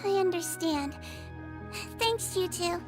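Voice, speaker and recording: Small sweet creature girl, extremely high childlike voice, sincere and polite, game music behind. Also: takes it slowly. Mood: grateful, warm. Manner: soft-hearted, polite, sincere. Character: gentle, earnest little female Melusine, small childlike creature. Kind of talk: anime video game voice acting.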